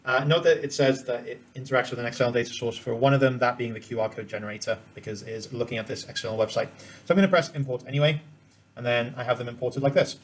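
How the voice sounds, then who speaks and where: Fast-talking man giving a software tutorial. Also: mid-range voice, steady tone. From Cambodia. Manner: explains step by step while demonstrating. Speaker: spreadsheet tutorial presenter. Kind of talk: informal screen-share software tutorial.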